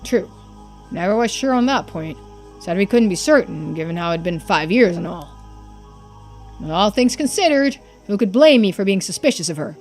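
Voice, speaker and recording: A woman voicing a brash, suspicious, defensive islander in a video game, blunt and challenging with rough colloquial speech. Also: medium pitch, expressive, moderate pace, darkish tone, soft background music, natural voice. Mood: defiant.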